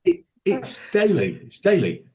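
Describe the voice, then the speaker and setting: A man's voice: high voice, wildly swooping pitch, measured pace, darkish tone. A man who works as a tribute singer, talking as a podcast guest in a casual, rambling way with lots of restarts and fillers. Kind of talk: casual interview chat.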